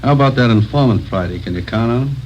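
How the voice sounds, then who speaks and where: Old radio recording with faint noise. A probing federal agent acted by a man talks quickly and directly. He is businesslike, questioning every step. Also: mid-range voice, a little mellow, highs cut off, natural voice.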